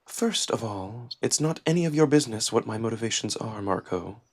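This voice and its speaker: A man voicing a stern, guarded she-wolf noblewoman with a measured, cutting, skeptical manner. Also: on the low side, animated. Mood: guarded, steady.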